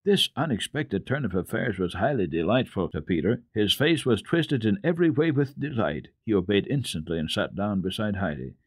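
A man reads a children's story with dramatic expression. His voice is low and moves through wide swings of pitch, deliberate in delivery, with a dry, close sound. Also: animated, husky, no echo. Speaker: storyteller, children's radio host. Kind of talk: dramatic children's book narration.

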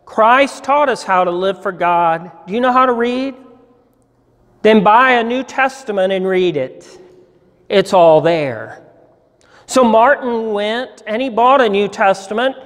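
Male pastor, 60s, storyteller at the pulpit. Unhurried, measured read, long hall reverb. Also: sits high, a bit bright. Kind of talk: dramatic storytelling reading from a church pulpit. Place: church sanctuary, at a lectern.

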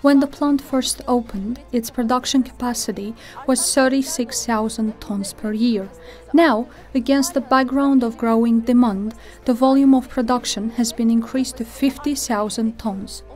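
Female corporate presenter: higher-pitched, formal and deliberate, scripted lines over a light music bed. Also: fairly even intonation, darkish tone.

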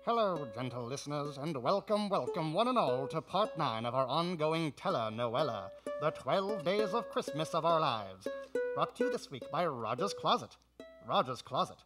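A man plays a grandiose telenovela announcer on the radio. His highish voice moves animatedly. Plucked guitar music plays behind him. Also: sing-song, normal speed. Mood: mock-solemn. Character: grandiose telenovela announcer addressing gentle listeners.

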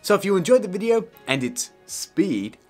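A man's voice: high-pitched, very animated, normal speed, faint music behind. A man in his thirties hosting a casual scripted tutorial in a jokey, emphatic, theatrical way. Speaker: tutorial host.

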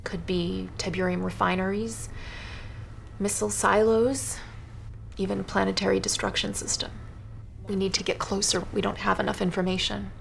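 A woman's voice: mid-range voice, little pitch movement, moderate pace, creaky. A woman playing a grave, earnest research scientist, speaking precisely in a scripted game news interview. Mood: speculative, concerned. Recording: faint ambient noise behind.

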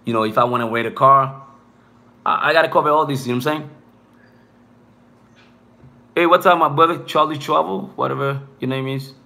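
Bright-voiced young man chatting on stream. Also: mid-range voice, brisk, creaky, long pauses. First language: not English. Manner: loose, rambling, peppered with "bro" and "you know what I'm saying". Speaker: live streamer. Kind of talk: casual live stream chat with viewers. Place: small room indoors.

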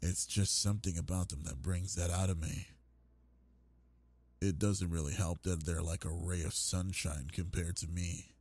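A young man playing a brooding, possessive delinquent goth bully in an intimate ASMR roleplay, with a low, husky voice and a domineering murmured drawl. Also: steady tone, normal speed, a little mellow. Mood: brooding, musing.

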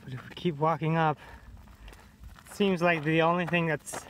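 Man, upbeat vlog chatter, slightly high voice, noisy outdoor recording. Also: steady tone, normal speed, a bit bright. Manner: upbeat, chatty, tour-guide tone. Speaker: vlogger. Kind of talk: casual vlog narration. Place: outdoors on a hiking trail.

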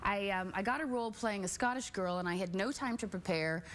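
A woman's voice: medium pitch, fairly quick. A woman in her forties, an actress guest on a late-night show, telling stories in a bubbly, laughing way. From Canada. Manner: bubbly, laughing, animated storytelling. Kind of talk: casual chat. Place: TV studio with audience.

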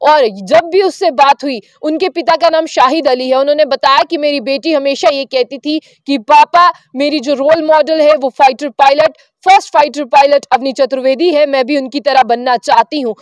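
Young female field reporter, high voice, dry close handheld mic outdoors. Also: moderate pace, hardly any pauses, no echo. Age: young adult. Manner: reads from phone, fluent with fillers and self-corrections. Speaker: news reporter. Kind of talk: piece to camera news report. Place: outdoors under a tree.

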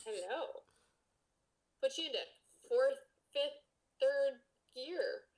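A woman podcast co-host reading a story aloud casually, with playful, expressive banter. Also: a little high, moderate pace.

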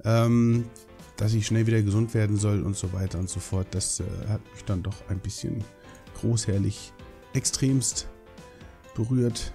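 Young gaming host, sick with a cold; low mellow voice, rambling chatty delivery with lots of fillers. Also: male voice, steady tone, measured pace, soft background music. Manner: chatty, rambling, swears casually, many fillers. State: hoarse from a cold. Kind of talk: casual Minecraft let's play commentary.

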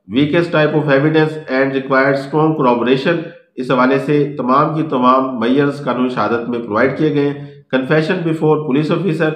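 A middle-aged man with a Pakistani accent giving a law lecture from notes, measured and deliberate, switching between Urdu and English. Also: a little high. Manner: measured and deliberate, reads phrases then explains them. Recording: echoey, roomy sound.

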